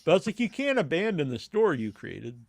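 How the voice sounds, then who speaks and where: A man chatting casually as a podcast host, in a halting, rambling way that often trails off. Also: a little high, very animated, vocal fry, a little mellow, no room sound.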